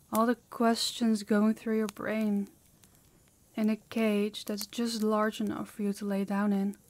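A woman's voice: medium pitch, a little mellow, natural voice. A woman voicing a harsh, dominant female demon in an intimate audio roleplay, with a haughty, commanding, taunting manner. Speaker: voice actor.

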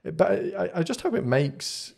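A man's voice: on the high side, big pitch swings, a little slow, gravelly. A man in his forties with a mixed British accent and a Scottish twang, chatting informally and self-deprecatingly as a podcast guest, close to a studio mic. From Scotland. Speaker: guest, agile consultant. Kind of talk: casual podcast interview. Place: small acoustically treated podcast studio. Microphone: close studio microphone on a boom arm.

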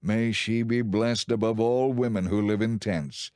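Low-voiced man narrating scripture. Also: fairly even intonation, unhurried, a little mellow, no echo. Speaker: audiobook narrator. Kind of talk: dramatized scripted Bible narration.

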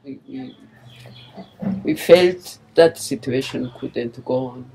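An older woman with a Rwandan accent giving personal testimony in an interview, speaking in a halting, deliberate and earnest way. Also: rumbling low, dark tone, slightly rough, low-quality recording. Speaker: interviewee, former liberation movement member.

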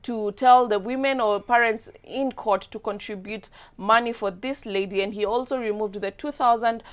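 A woman's voice: on the high side, steady tone, moderate pace. A young Kenyan woman hosting a studio chat show, telling a news story in a chatty way with restarts. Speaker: host. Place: bright TV studio set.

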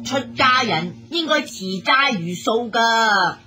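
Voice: mid-range voice, animated, takes it slowly, loud music behind the voice. A performer in a Cantonese radio drama playing a young, rule-bound temple monk, lecturing and fretful. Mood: scolding.

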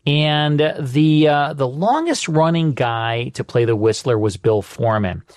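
A man's voice: medium pitch, animated, unhurried, no room sound. An American man hosting a radio show in a conversational presenting and promotional style. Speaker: radio host. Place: studio.

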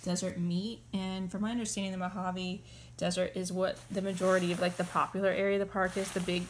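A woman's voice: on the low side, little pitch movement, quick pace, slight background noise. Female travel vlogger, casual conversational narration, informal delivery. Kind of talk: casual chat and voiceover narration.

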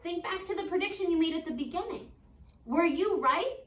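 A woman in her twenties doing an expressive, sing-song read-aloud for young children, as a teacher who asks questions and waits for answers. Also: very high voice, a little fast. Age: 20s. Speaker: preschool teacher. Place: indoor school classroom. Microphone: camera a few metres away. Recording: echoey room, distant microphone.

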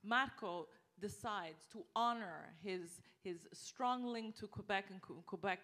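Animated bilingual woman moderating a panel. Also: medium pitch, takes it slowly. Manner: animated, switches between English and French. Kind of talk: panel discussion. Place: stage in a theatre, panel in front of an audience. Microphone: handheld mic.

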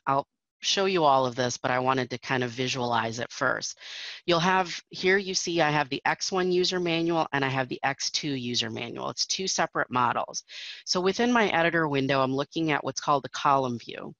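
A woman's voice: sits low, fairly bright tone, no echo, lo-fi sound. A woman presenting a technical webinar over slides, explaining steadily with frequent fillers.

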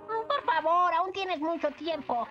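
A woman with a Latin American accent voicing a small, eager, clumsy male wizard in a cartoon dub, excitable and showy. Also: extremely high pitch, takes it slowly, lo-fi sound, heavily acted. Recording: old cartoon dub under loud music.

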